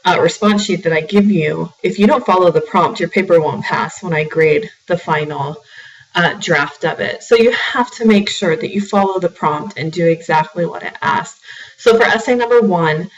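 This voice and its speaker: A woman's voice: on the low side, dull audio. Froggy-voiced woman teaching from a distance. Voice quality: slightly froggy. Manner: filler-heavy, repeats words when restarting. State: sore throat from allergies. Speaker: writing instructor. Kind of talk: recorded class lecture.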